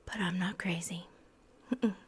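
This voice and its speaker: A woman playing a paranoid, unhinged asylum patient in a horror ASMR roleplay, secretive and halting, obsessively repeating her words. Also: on the low side, steady tone, slight background noise, lightly acted. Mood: secretive, eerie.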